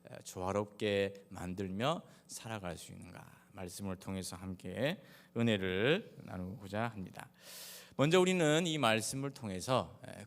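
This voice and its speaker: Low-voiced Korean pastor with Gyeongsang accent. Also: male voice. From Daegu, South Korea. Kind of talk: sermon with personal anecdotes. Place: large reverberant church hall. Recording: echoey hall with long reverb.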